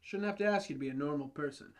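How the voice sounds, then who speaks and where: A man playing a petty, evasive office boss in a comedy sketch, speaking with halting condescension. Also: a little high, very noisy, natural voice. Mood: condescending. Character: evasive, exasperated male office boss, petty and foul-mouthed.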